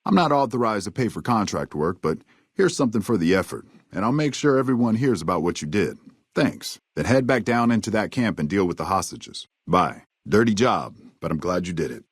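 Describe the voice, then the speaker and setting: A gruff American ranger for a video game, with a very low voice that swings freely up and down. He is cynical and folksy, drawling out sardonic tough talk, and the sound is close and dry. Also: sing-song, a little fast, no room sound. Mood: grudgingly grateful. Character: gruff, wry, cynical frontier army ranger man. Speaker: game character voice actor. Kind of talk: video game dialogue lines.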